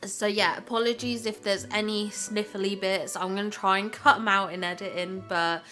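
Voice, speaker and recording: A woman's voice: medium pitch, fairly even intonation, normal speed, pushed and bright, faint music behind. A young woman hosting a casual gaming vlog, chatty and enthusiastic and slightly congested from a cold. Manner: chatty and enthusiastic, repeats words for emphasis. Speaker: gaming channel host. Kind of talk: casual game commentary vlog.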